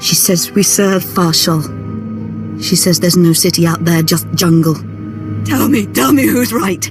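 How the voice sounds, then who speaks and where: An actress playing a tormented young Sith woman in a video game, intense, halting and struggling for control of her mind. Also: lowish voice, natural voice. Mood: desperate, defiant. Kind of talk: dramatic video game voice acting. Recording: music behind the voice.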